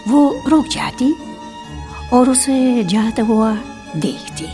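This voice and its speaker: A woman narrates a scripted radio drama with expressive, literary delivery. Her voice is high and lively in pitch, moving at a slow pace. It is an archival broadcast recording with music underneath.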